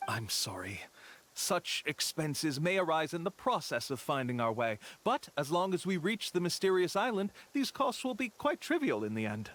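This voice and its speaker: An actor playing a polite, reassuring tour guide in a video game, with an earnest sales patter. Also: male voice, fairly high pitch, sing-song, normal speed, fairly bright tone. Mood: apologetic, persuasive. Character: smooth-talking male tour guide, polite, reassuring con artist.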